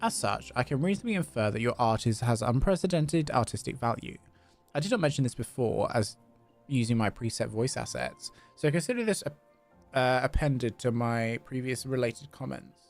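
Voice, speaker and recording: A man voicing a polite, formal, flattering clockwork robot while reading game dialogue aloud. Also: medium pitch, moderate pace, faint music behind, close to an everyday voice. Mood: precise, deferential. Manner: stiff, formal, deferential.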